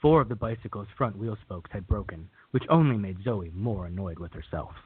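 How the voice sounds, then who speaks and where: Male narrator for radio theater, lowish and husky, scripted read, close and dry. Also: animated, a little fast, no echo. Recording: tinny, phone-like narrow band.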